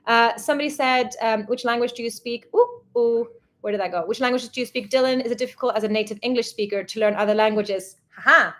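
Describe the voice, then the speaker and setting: A chatty woman from Sweden whose first language is Swedish hosts a relaxed live question session with her partner. She laughs easily and reads viewer questions aloud in a friendly way. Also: on the high side, fast. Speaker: travel vlogger co-host. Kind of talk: casual live Q&A chat.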